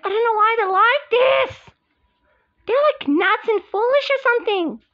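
A woman's voice: high-pitched, expressive, normal speed. A woman voicing a dramatic young girl in an improvised role-play, emphatic and repetitive. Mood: tearful. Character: dramatic, indignant young girl, anxious and pleading. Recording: dull lo-fi sound.

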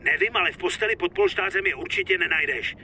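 A man's voice: sits high, pushed and bright, slight background noise. An actor playing a hot-headed, foul-mouthed mobster in his thirties, with coarse, blunt delivery in a dubbed crime game. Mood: brusque. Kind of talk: dramatic dubbed video game acting.

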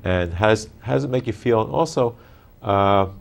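An older man hosting a studio interview, asking questions in halting, circling phrases. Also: on the low side, vocal fry. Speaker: TV talk show host. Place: small TV studio, indoors.

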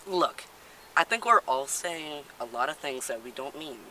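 A young man in his late teens playing a deadpan, flippant hiker in an amateur comedy sketch. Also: fairly high pitch, normal speed, low-quality recording. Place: outdoors on a woodland trail. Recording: outdoors with faint ambient noise.

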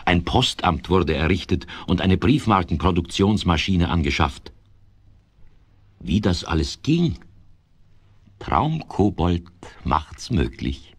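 A man playing an expressive storybook narrator in a children's radio play, savouring his lists of words. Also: deep voice, takes it slowly, slightly rough, no echo, exaggerated voice. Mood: relishing. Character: storybook narrator, adult man, relishing the tale.

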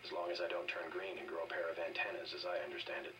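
An actor playing a stoic, dry government agent in a video game, speaking in a deadpan, clipped manner. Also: male voice, sits low, quick pace, lightly acted. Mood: dry, businesslike. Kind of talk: video game dialogue acting. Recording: game audio filmed off a TV, distant, hissy.

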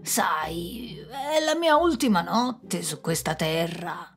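Woman playing sly drunk trickster guy, slow wheedly talk, kinda high and bouncy. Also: sing-song, fairly bright tone, faint music behind, full character voice. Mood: wheedling. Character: sly male drunkard trickster, cunning and smug.

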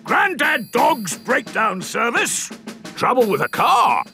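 A man's voice: very high voice, wildly swooping pitch, slow pace, pushed and bright, faint music behind, exaggerated voice. A man voicing a helpful older grandad dog mechanic in a cartoon. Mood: brisk, helpful. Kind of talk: cartoon character acting.

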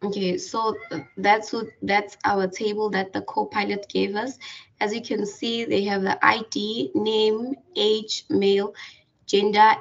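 A woman walking through a software tutorial over a screen recording, hesitant with many fillers and restarts. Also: medium pitch, creaky. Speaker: tutorial presenter. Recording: distant, dull lo-fi mic.

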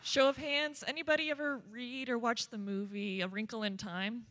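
A woman on staff giving a casual, chatty, slightly rushed announcement from a stage. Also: fairly high pitch, fairly even intonation, a bit bright. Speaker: staff member making an announcement. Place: large auditorium stage. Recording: long hall reverb.

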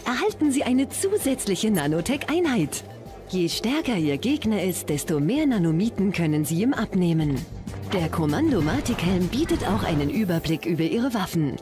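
Corporate instruction-video announcer: lowish female voice, lively intonation, formal salesy tone, music behind. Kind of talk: scripted corporate tutorial narration in German.

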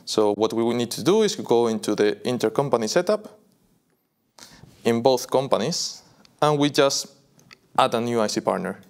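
Young man demonstrating software. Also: mid-range voice, animated, moderate pace, pauses often. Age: young adult. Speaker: software product presenter. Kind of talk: live software demo walkthrough. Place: indoor video studio.

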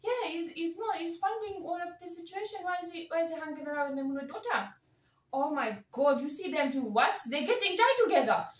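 A woman putting on a bossy, gossiping auntie who rules over her community, speaking in high-pitched Indian English with clipped, broken grammar and quick scolding asides. The voice sits well back from the mic in a roomy, echoing space. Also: lively intonation. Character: bossy gossiping middle-aged auntie, self-declared community elder. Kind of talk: comic character monologue. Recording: roomy sound from a distant mic.

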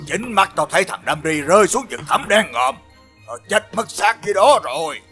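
A man voicing a brutal, boastful, commanding enemy general in an animated fairy tale. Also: sits high, a little fast, soft background music, exaggerated voice. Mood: scornful, boastful. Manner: blustering, commanding.

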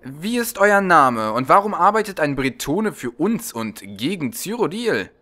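A man's voice: fairly high pitch, sing-song, measured pace, bright, forceful tone, voice pushed up, exaggerated voice. A man voicing a shrewd, self-assured living god who leads an army, in a fantasy audiobook. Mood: amused. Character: shrewd, amused living god and war leader.

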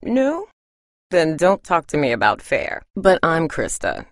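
An actress playing a tough, weary woman surviving an apocalypse in a video game, husky and blunt, speaking in a serious, terse way. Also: low voice, wildly swooping pitch, unhurried, dry recording, studio quality, full character voice. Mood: annoyed, firm. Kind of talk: dramatic video game voice acting.

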